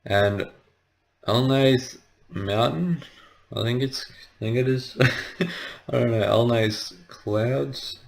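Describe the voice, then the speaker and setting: A man's voice: mid-range voice, slow, gravelly, pauses often. A man giving a casual, rambling let's play commentary, hesitant and often correcting himself as he explains. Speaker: gaming video host.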